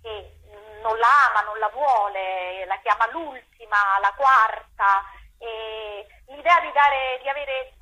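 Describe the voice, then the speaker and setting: A woman's voice: fairly high pitch, takes it slowly, bright, forceful tone, poor audio. A woman theatre director answering interview questions, repeating words as she searches for phrasing.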